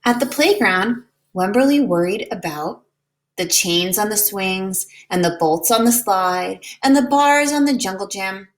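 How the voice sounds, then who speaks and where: A woman reading a children's picture book aloud in an expressive, deliberate way, like a preschool teacher. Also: mid-range voice. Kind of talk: children's storybook read-aloud.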